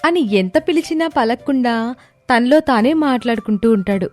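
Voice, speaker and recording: Woman narrator, fairly high voice, scripted moral story, faint music behind. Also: expressive, moderate pace, darkish tone.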